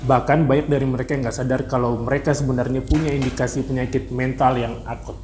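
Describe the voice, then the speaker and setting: A man's voice: medium pitch, fairly even intonation, fast talker, darkish tone. Male host, mid-range voice, conversational questioning, echoey recording with faint noise. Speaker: interview host.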